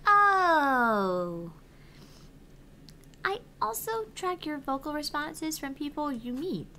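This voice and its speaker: A woman's voice: high-pitched, very animated, slow pace, bright, forceful tone, a little hiss or hum, voice pushed up, heavily acted. A woman voicing a perky, reassuring female app assistant, reading game dialogue in a chirpy, encouraging, playful manner. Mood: chipper. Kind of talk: reading visual novel game dialogue aloud.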